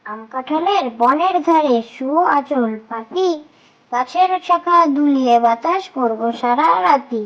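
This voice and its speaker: A woman reciting children's nursery rhymes in sing-song, measured phrases. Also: high voice, lively intonation, highs cut off.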